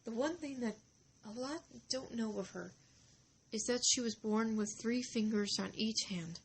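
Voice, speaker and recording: A woman narrating a story informally, halting and deliberate, with dramatic pauses between short phrases. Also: a little high, slight background noise, rough recording.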